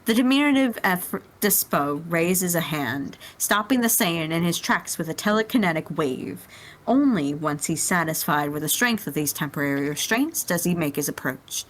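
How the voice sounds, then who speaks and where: A woman's voice: on the low side, lively intonation, normal speed, rough recording. A woman narrating a story as a dramatic reading within a group.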